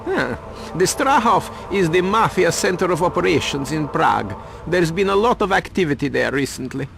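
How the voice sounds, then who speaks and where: A man's voice: a little high, very animated, normal speed, gravelly. An actor playing a cagey, self-important, money-minded reporter turned informant in a video game, evasive as he talks. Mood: nervous, cagey. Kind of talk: video game cutscene acting.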